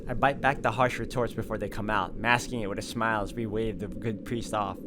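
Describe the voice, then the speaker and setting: A man's voice: fairly low pitch, fairly even intonation, a little fast, background noise. A man hosting a game walkthrough, commenting and reading visual novel narration aloud. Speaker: gaming walkthrough host. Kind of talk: let's play commentary and read-aloud narration. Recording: game music clearly behind the voice.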